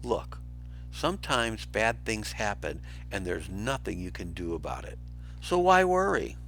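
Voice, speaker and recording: A young male lion from a storybook, acted out by a man reading aloud. He is earnest yet defensive, a guilty runaway prince, speaking with lively movement in pitch. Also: a little slow, soft background music, heavily acted.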